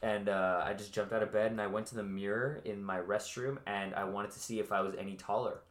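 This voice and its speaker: A man's voice: on the low side, steady tone, brisk, a little hiss or hum. Laughing young man, echoey room. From California, USA. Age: 20s. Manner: chatty, laughs often, storytelling. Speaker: podcast co-host. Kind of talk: casual chat.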